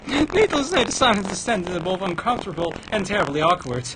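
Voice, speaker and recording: A woman livestreaming a game, reading visual novel narration aloud over clear noise and clicks. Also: medium pitch, very animated, fast.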